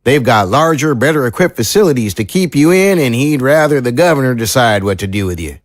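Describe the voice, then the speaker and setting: An actor playing an earnest, troubled small-town sheriff in an audio drama, speaking in a folksy, sincere, measured way. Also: male voice, mid-range voice, lively intonation, no room sound, close to an everyday voice. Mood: grave, candid.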